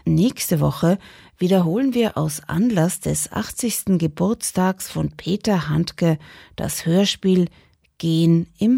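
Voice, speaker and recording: A woman announces radio programmes from a script. Her voice is mellow and gravelly, recorded very dry and close, with an unhurried delivery. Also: low voice, dry recording. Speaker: radio presenter.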